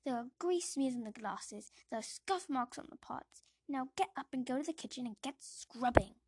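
Woman putting on a loud, scolding aunt. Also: sits high, lively intonation, normal speed, husky, no echo. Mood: commanding. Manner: loud, sharp and contemptuous. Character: harsh, scolding middle-aged aunt.

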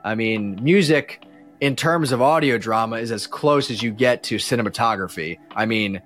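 A man speaks as a guest on a casual podcast at an average pitch. He rambles and halts, often starting his sentences over. Music plays in the background. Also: moderate pace, fairly bright tone. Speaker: podcast guest, audio drama creator and musician.

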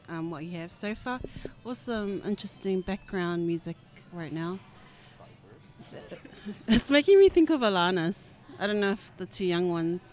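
A woman leads the conversation as host, asking questions in a cheerful, encouraging tone. Her voice sits a bit low for a woman. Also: a little mellow. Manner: upbeat, encouraging, laughs easily. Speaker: podcast host. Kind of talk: interview questions.

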